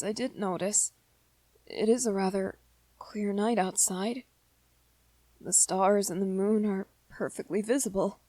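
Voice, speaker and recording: A woman voicing a shy, smitten young male vampire in a romantic ASMR roleplay, gentle and hesitant, often stammering over repeated words. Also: mid-range voice, no room sound. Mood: tender, unsure.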